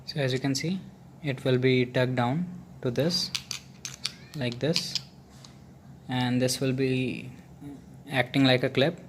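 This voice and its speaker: Indian-accented man over clear background noise. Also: mid-range voice, steady tone, takes it slowly, pauses often. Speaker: video host, product reviewer. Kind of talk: casual unboxing narration.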